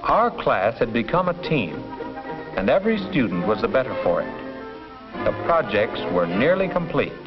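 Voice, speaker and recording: An earnest male schoolteacher played by an actor narrates a 1950s educational film with formal, measured phrasing and very lively intonation. Music plays prominently behind him on a dull, old soundtrack. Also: mid-range voice, close to an everyday voice. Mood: proud.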